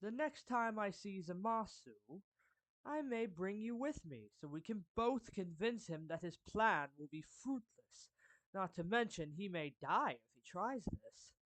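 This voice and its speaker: A performer voicing a suave, courtly villain turned gently protective friend in an anime fan roleplay, speaking formally and deliberately with pauses mid-phrase. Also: medium pitch, expressive, dry recording, low-quality recording. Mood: intrigued, resolved. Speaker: character voice actor. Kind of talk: anime fan roleplay monologue addressed to the listener.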